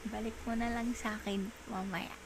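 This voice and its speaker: A woman with a Filipino accent playing a clingy, coaxing girlfriend in an intimate ASMR roleplay, pleading in soft baby talk. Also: mid-range voice, steady tone, normal speed, noisy background, close to an everyday voice. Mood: playful, affectionate. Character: clingy, coaxing girlfriend soothing a jealous partner.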